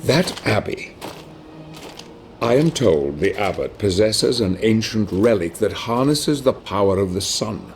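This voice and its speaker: An actor playing a grave, scheming knightly ally who narrates a dark fantasy game in solemn, measured, formal phrases. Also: male voice, fairly low pitch, expressive, soft background music. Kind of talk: dramatic scripted game narration.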